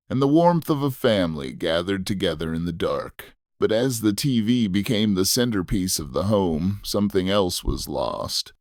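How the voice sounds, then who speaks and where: A man with a fairly low voice narrates a scripted, nostalgic memoir. His delivery is measured and reflective, and the recording is dry and close, with little room sound. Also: no room sound. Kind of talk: scripted first-person nostalgic storytelling narration.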